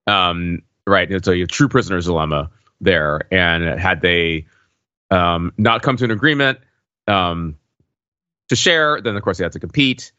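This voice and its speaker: Deep, forceful-toned man, hesitant delivery. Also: moderate pace, clear, resonant tone, no echo, highs cut off. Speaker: podcast host. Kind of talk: casual analytical chat.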